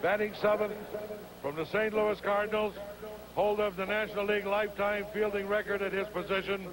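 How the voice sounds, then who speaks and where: A man announcing player introductions over a stadium public address system, speaking slowly with long pauses between names, recorded in an outdoor ballpark. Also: sits high, flat delivery. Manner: slow, deliberate, drawn-out pauses between names. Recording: stadium PA with loud crowd noise, 1980 broadcast. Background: loud crowd.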